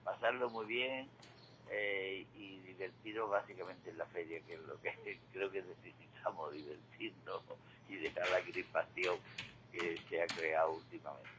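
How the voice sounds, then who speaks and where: An older man giving a reflective radio monologue, halting and repeating words, with rhetorical questions. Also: low-pitched, steady tone. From Spain. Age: 60s or older. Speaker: retired regular contributor giving commentary. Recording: clearly noisy radio feed.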